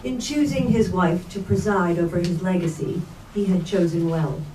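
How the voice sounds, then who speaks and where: A woman's voice: lowish voice, moderate pace, dark tone. A woman reading literary prose aloud as a reader at a staged book reading. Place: bookstore event space. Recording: echoey room, distant mic, faint noise.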